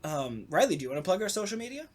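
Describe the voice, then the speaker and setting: A podcast host talking casually in an animated, sarcastic way, with some swearing. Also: mid-range voice, very fast. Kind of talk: casual talkback chat.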